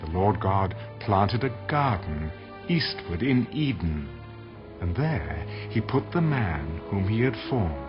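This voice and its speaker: A man narrating dramatized scripture in a solemn, measured manner. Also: on the low side. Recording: dull audio under loud music.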